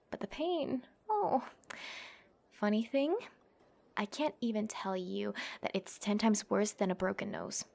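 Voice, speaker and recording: A woman playing a cocky young female MMA fighter in an ASMR roleplay, taunting and menacing as she protects someone. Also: medium pitch. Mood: menacing relish. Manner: taunting, swaggering, foul-mouthed, theatrical pauses and scoffing.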